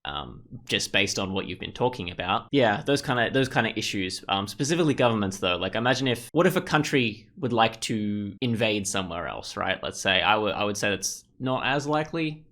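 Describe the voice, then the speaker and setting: Male walkthrough host, fairly deep and sharp-edged, casual, thinking out loud as he goes. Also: lively intonation, a little fast, hardly any pauses. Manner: rambling, thinking aloud. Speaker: gaming video host. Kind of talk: casual game walkthrough commentary.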